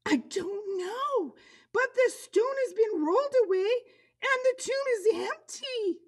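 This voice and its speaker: Puppet show role: grieving, earnest female storyteller, extremely high squeaky voice, slow theatrical delivery. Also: lively intonation, full character voice. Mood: distraught.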